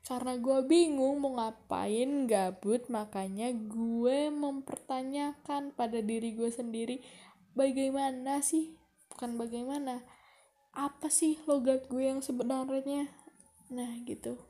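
A woman's voice: high-pitched, fairly even intonation, takes it slowly, dark tone. A young woman from Bogor with a Jakarta slang accent, hosting a casual solo podcast and rambling to herself in a chatty way. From Bogor, Indonesia. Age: young adult. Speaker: podcast host.

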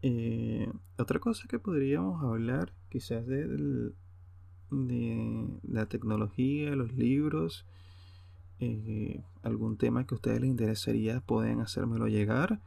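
A man's voice: medium pitch, a little slow, vocal fry, warm tone, dry recording. A man with a Venezuelan accent talking off the cuff on a solo podcast, hesitant, informal and rambling. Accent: Venezuelan Spanish. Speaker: podcast host. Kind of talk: unscripted solo podcast monologue.

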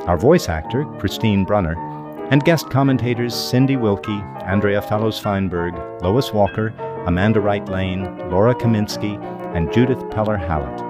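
Low-voiced man narrating a podcast over music. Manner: measured, formal. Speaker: podcast host and author. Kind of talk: scripted narration.

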